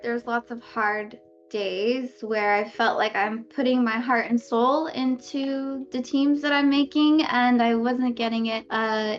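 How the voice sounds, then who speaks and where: High-voiced woman, dull lo-fi sound. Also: a little hiss or hum. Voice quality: high-pitched voice. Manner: laughs often while telling stories. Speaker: pro gamer guest. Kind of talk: casual podcast interview. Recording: dull lo-fi audio.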